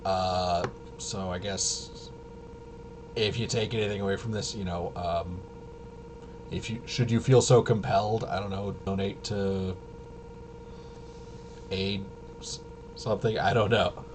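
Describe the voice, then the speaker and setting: Casting: male streamer, lowish voice, loose rambling delivery that stops and restarts, noticeable background noise. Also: steady tone. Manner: halting, self-interrupting, trailing off. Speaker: livestream host. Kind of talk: casual rambling livestream chat.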